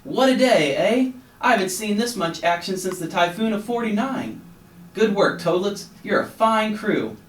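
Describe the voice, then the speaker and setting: A man voicing an old male frog sea captain who talks in hearty, loud exclamations. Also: mid-range voice, animated, normal speed, natural voice. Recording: roomy, slightly distant, faint hum.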